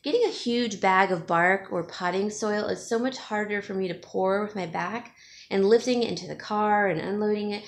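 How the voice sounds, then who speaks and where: A woman's voice: mid-range voice, normal speed. A woman who works as a home stager, talking casually to her viewers in a rambling, chatty way, with a sniffle from allergies. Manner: rambling, chatty, with fillers and small laughs. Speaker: home stager and DIY vlogger. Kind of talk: casual chat to camera. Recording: echoey room, distant from mic, faint hiss.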